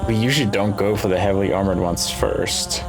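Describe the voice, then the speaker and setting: A man giving casual gameplay commentary, thinking aloud in short, musing phrases with pauses between them. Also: fairly low pitch, sing-song. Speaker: let's play host. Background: game music.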